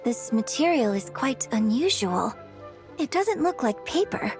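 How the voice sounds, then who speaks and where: A gracious young female opera singer from a game, speaking with poise in a fairly high, expressive voice. She is polite and articulate, with faint music underneath. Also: a little slow. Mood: curious, admiring. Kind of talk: animated game voice acting.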